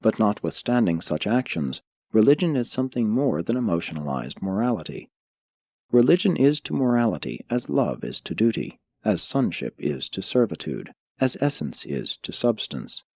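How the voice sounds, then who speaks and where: A man narrating an audiobook, reading formal scripted philosophical prose in a measured, declarative manner. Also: on the low side, sing-song, darkish tone, slightly rough, dry recording.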